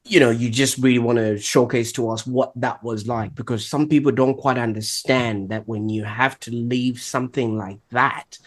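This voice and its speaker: A man's voice: medium pitch, fairly even intonation, normal speed, few pauses. A man hosting a podcast interview, chatty with light jokes and many repeated "you know"s. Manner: warm-hearted, joking, repeats "you know". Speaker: podcast host.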